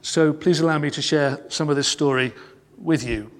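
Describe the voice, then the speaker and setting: A man recounts history in the slow, solemn cadence of a sermon, pausing and slowing on key phrases. His voice sits slightly high for a man. The sound carries a long reverb, as in a large church. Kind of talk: sermon-style storytelling.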